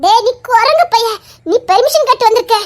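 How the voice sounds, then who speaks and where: A woman's voice: very high-pitched, fairly quick, a little mellow. A woman playing a fiery young sweetheart who scolds and threatens her lover while laughing. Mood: scolding. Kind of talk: amateur romantic drama.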